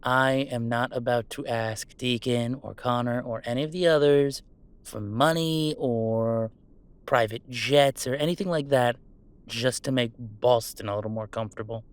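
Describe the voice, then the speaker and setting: A man's voice: mid-range voice, steady tone, moderate pace, clear, resonant tone, natural voice. A man voicing a playful, self-deprecating werewolf streamer in a one-sided roleplay audio drama, full of teasing banter and dramatic sarcasm. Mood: fond, teasing. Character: wisecracking young male werewolf streamer, affectionate and self-deprecating.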